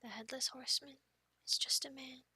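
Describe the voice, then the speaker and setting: A woman's voice: high-pitched, steady tone, a little fast, sharp tone, slightly rough, no echo. A young woman performing an ASMR roleplay in a playful, girlish manner, close to the microphone. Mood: hushed storytelling. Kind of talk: ASMR roleplay, whispered storytelling and casual chat.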